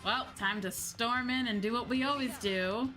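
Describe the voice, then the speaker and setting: A woman's voice: a little high, normal speed, low-quality recording. A woman doing casual, playful, sweary gameplay commentary as a streamer, often reading item names aloud, on a close microphone. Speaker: VTuber game streamer. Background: game music.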